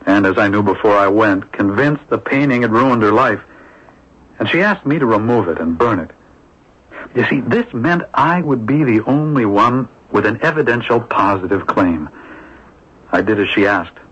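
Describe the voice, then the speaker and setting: A composed schemer in a radio mystery, explaining himself formally and with measured words. His voice sits on the low side and is husky, on a dull old radio recording. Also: animated, fairly bright tone. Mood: confessional, rueful. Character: smooth-talking, composed male swindler under questioning. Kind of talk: radio drama acting.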